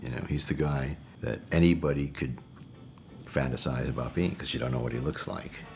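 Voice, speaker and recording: Very deep, gravelly man. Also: moderate pace, a little mellow, a little hiss or hum. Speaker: interviewee. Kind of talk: casual documentary interview.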